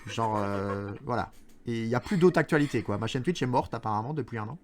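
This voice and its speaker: A man's voice: mid-range voice, lively intonation, a little fast. A Belgian man from the Charleroi area hosting a gaming stream, rambling and self-mocking with friends. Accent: Belgian French, Charleroi. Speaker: streamer host. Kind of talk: casual gaming stream chat. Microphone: good streaming mic.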